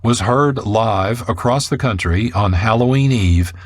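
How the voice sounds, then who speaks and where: A man's voice: on the low side, a little slow, dry recording. A man reading scripted documentary narration as the narrator of a radio programme.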